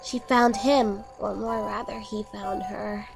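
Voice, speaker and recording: A woman's voice: mid-range voice, fairly even intonation, slow pace, vocal fry, low-quality recording. A woman playing a sinister younger sister in a horror story, delivering an ominous, scornful, theatrical monologue. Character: sinister younger sister coldly recounting her sibling's doom. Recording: music under the voice. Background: music.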